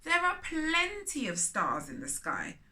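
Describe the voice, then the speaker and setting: An eager little girl rocket from a children's storybook, played by a woman reader, bright and very animated. She is determined and boastful, exclaiming and insisting. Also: high-pitched, a little slow, pitched above the performer's own voice, heavily acted. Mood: defiant.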